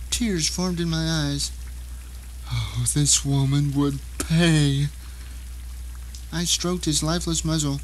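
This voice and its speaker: A man's voice: high voice, slight background noise, lightly acted, poor audio. A man playing a bitter, vengeful blind man trapped at home, narrating an audio drama in an intense, theatrical way. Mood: grieving, bitter. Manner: intense, theatrical, emphatic delivery.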